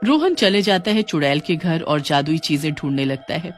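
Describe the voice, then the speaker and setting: A man's voice: a little high, animated, normal speed, soft background music. A man narrating a scripted story as a storyteller.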